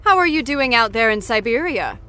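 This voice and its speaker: Animated, high-voiced woman hosting an after-show. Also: brisk, faint music behind. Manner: theatrical, teasing. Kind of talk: playful scripted talk-show hosting.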